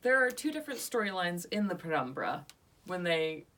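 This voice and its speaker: A woman's voice: on the low side, sing-song, normal speed, fairly bright tone, far from the mic. A young woman co-hosting a casual podcast review, bubbly and teasing, jumping in with corrections. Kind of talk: casual chat.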